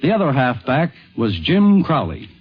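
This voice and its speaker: A man hosting with polished, measured, scripted narration in a 1950s radio documentary. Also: on the high side, big pitch swings, slightly rough, faint music behind. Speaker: host, narrator. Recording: dull 1950s radio recording.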